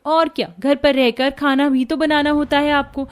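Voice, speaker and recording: Woman playing haughty young working bahu in a cartoon, bossy, high pitched, brushes people off. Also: flat delivery, natural voice. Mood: entitled, dismissive. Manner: brisk, dismissive, entitled. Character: young working sister-in-law, haughty, bossy, self-assured. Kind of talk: Hindi cartoon family story acting.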